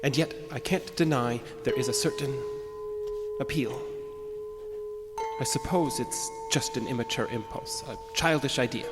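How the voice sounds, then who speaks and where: A pompous, brooding man with violent urges speaks his journal aloud in a horror audio drama. His delivery is formal and deliberate but swings widely in pitch. Long reverb surrounds him, with music underneath. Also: wildly swooping pitch. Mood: brooding, tempted.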